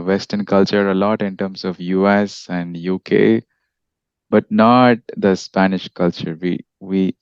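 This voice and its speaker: Deep-voiced man hosting a podcast. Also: a little mellow, clear, resonant tone, no echo, highs cut off. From India. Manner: friendly, with frequent restarts and fillers. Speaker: podcast host. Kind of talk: casual podcast interview.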